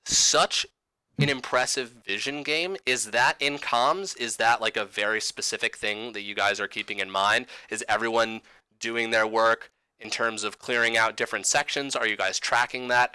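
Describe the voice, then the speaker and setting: A man hosting a livestream desk, upbeat and quick with enthusiastic reactions. His voice is bright and clean, recorded dry and close to the mic. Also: on the low side, clear, resonant tone, no room sound, low-quality recording. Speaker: esports broadcast host.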